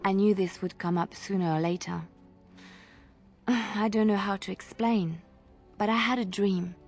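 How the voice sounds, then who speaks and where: An actress playing a solemn, devout visionary in a video game, telling her story in a grave, measured way. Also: medium pitch, steady tone, darkish tone, faint music behind. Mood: solemn, reluctant. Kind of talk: video game character acting.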